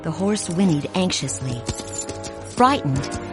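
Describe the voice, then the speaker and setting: A woman narrating a read-along storybook in a clear, measured, expressive way. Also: deep voice, music in the background.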